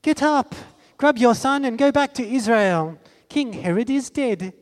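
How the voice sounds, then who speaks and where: A man's voice: very high voice, animated, measured pace, in a large hall, voice pushed up. A man doing an urgent, commanding angel.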